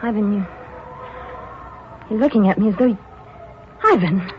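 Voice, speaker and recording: An actress playing a frightened, pleading former wife in vintage radio horror, with melodramatic delivery. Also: female voice, mid-range voice, lively intonation, normal speed, a little hiss or hum, lightly acted. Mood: weary, uneasy. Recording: muffled old radio recording, music behind.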